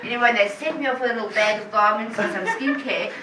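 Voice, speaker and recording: A woman's voice: fairly high pitch, fast talker. Woman, departing guest of honour, rambling farewell story, echoey far-off sound. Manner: relaxed, tipsy storytelling. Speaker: departing colleague thanking guests. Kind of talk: informal farewell speech. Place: indoor function venue at a party. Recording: echoey room from far off, noisy.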